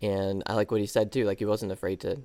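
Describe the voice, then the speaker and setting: A man's voice: on the low side, level tone, fast, dark tone. A man co-hosting a podcast, explaining a video in an easygoing, casual way. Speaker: podcast co-host.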